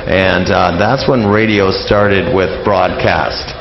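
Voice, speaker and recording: A man's voice: sits low, fairly even intonation, slow. A man talking casually as an interview guest, hesitant and rambling. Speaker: interview guest, old-time radio enthusiast. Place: live radio lounge with people coming and going. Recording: muffled, echoey room with busy crowd.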